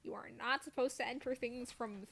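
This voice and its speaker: A woman's voice: a little high, fairly even intonation, moderate pace, a bit bright, slightly rough. A woman chatting casually while playing a game on stream, playful and self-deprecating with silly exclamations. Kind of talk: casual gameplay chat.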